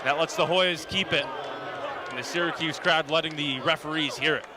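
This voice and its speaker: High-pitched male basketball play-by-play announcer over loud arena. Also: moderate pace. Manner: energetic, rising excitement on big plays. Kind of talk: live play-by-play commentary. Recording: loud arena noise behind the voice. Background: basketball arena with bouncing ball.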